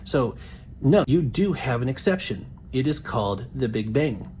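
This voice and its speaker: Male debate host, medium pitch, emphatic and challenging, slight noise on the recording. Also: animated, moderate pace, darkish tone. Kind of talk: argumentative debate.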